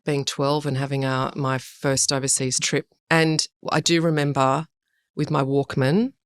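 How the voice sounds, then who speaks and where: A middle-aged Australian woman talking as a podcast guest, chatty and thoughtful, pausing with ums and correcting herself as she goes. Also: rumbling low, flat delivery, clean tone, no room sound, clean studio sound. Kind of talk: casual podcast interview.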